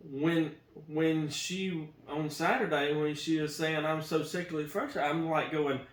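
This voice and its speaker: Earnest husband preaching, echoey room. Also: male voice, a little high, fairly even intonation, unhurried. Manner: earnest, preachy, repeats himself. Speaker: co-host, husband. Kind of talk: casual faith talk with his wife.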